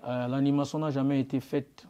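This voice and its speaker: A man's voice: mid-range voice, flat delivery, fairly quick, mellow. A man with a Congolese accent giving defensive, emphatic interview answers, stammering and repeating his phrases as he argues. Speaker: guest, music animator.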